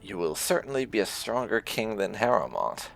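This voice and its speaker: A man giving casual let's play commentary as a gaming channel host, halting and dry in delivery. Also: lowish voice, sing-song, creaky, a bit bright, faint music behind.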